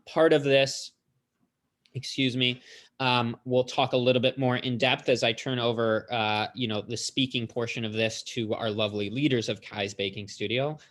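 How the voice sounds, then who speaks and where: Man calmly explaining a bond platform. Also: on the low side, normal speed. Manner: steady explaining with frequent "um" fillers. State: slightly losing his voice, clearing throat. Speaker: investment platform representative. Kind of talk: explanatory walkthrough.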